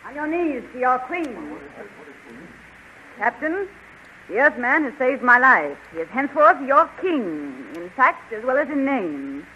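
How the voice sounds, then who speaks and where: A woman's voice: high-pitched, very animated, unhurried, pushed and bright, heavily acted. An actress playing a proud, commanding queen in a 1930s radio serial, imperious and declamatory. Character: proud, commanding captive queen of a cave kingdom. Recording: noisy, dull 1930s radio recording.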